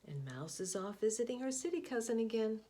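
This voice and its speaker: A woman reading a picture book aloud, expressive, often dropping to a hushed whisper. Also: on the high side, slight background noise. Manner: expressive, slow, hushed asides. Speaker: storybook reader.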